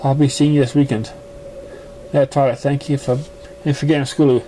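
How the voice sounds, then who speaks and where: Guy reading fanfic out loud, kinda stumbly, relaxed dark-ish tone, some noise behind him. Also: mid-range voice, rough recording. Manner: stumbling, halting reading with misread words. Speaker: amateur fan fiction reader. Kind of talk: reading a story aloud. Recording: noticeable background noise, amateur recording.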